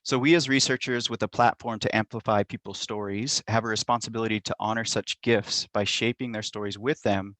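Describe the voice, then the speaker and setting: A middle-aged man giving a measured, thoughtful academic talk over a video call, with frequent ums and uhs. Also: fairly low pitch, steady tone, a bit bright, dry recording. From Montana, United States. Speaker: university lecturer. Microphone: computer mic on a video call.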